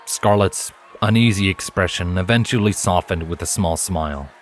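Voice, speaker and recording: A man's voice: sits low, normal speed. A man reading scripted story narration as an audiobook narrator. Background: background music.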